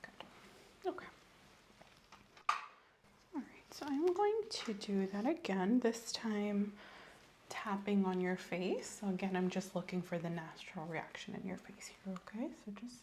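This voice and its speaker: A woman doing an ASMR medical exam roleplay as a doctor, speaking softly and sometimes breathily, gently instructing and reassuring. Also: medium pitch, animated, measured pace, pauses often, a little hiss or hum. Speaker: ASMR creator playing a doctor.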